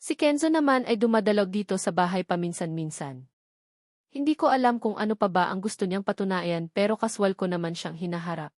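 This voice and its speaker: A woman's voice: medium pitch, expressive, a little fast, darkish tone, no echo, clean studio sound. A woman narrating a novel in the first person, reading from a script in a storytelling style. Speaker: audiobook narrator.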